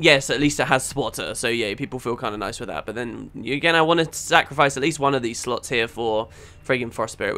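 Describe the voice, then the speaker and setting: A man's voice: mid-range voice, sharp tone. A man in casual, critical gaming chat, speaking in a blunt, emphatic, argumentative way as he reviews a build.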